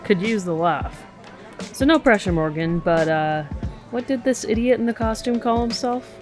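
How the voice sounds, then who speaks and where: A woman acting a tough, sardonic policewoman who gets halting and flustered, in casual audio drama dialogue. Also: on the high side, expressive, close to an everyday voice. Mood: wry. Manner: sardonic, halting when awkward. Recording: music behind the voice.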